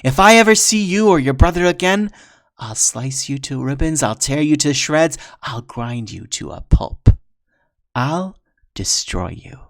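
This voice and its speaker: A man voicing a fierce woman warrior who threatens in a forceful, snarling way. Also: on the high side, lively intonation, slow, few pauses, voice pushed up.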